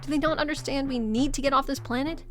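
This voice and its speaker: A woman's voice: high-pitched, steady tone, fast talker, soft background music, close to an everyday voice. A woman playing an irritable, worried and sardonic space crew member in an audio drama, exasperated and sarcastic.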